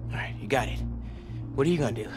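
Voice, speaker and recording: A man's voice: mid-range voice, expressive, fast talker, over music, close to an everyday voice. A man voicing an eager, awkward young American teenage boy helping a hero in a video game. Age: young adult. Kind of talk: video game cutscene acting.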